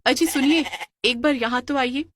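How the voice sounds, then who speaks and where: A woman voicing a loving, teasing village wife in a cartoon story, expressive and playful. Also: high voice, moderate pace, heavy background noise, pitched above the performer's own voice, lightly acted. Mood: curious.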